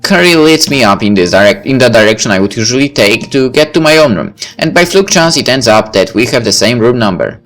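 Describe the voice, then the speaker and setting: A man's voice: medium pitch, lively intonation, a little fast, hardly any pauses, a bit bright. Man reading a story game over music. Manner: stumbles over and rereads words. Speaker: reader playing through a visual novel. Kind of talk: narrated read-aloud of a story game.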